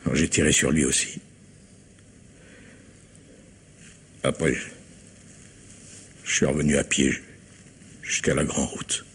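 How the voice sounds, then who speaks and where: A husky, very deep-voiced confessing husband. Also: male voice, flat delivery, a bit bright, heavily acted. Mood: shaken, halting. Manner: halting, stammering confession. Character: husband confessing to a crime of passion, shaken, defeated. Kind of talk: French radio mystery play acting.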